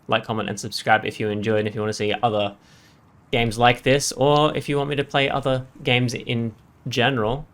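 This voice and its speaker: A man's voice: medium pitch, sharp tone. A man giving casual, off-the-cuff game commentary as a channel host, rambling as he thinks aloud and often checking in for agreement.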